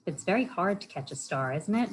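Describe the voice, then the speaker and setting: A woman's voice: fairly low pitch, lively intonation, a little fast, a little hiss or hum. A woman hosting a storytime for children, reading aloud and chatting in simple words, often putting questions to her young listeners. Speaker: library storytime host for children.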